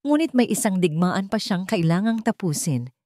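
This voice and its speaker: A woman's voice: medium pitch, very animated, normal speed, dark tone, dry recording, clean studio sound. A woman narrating a scripted story in a dramatic storytelling style.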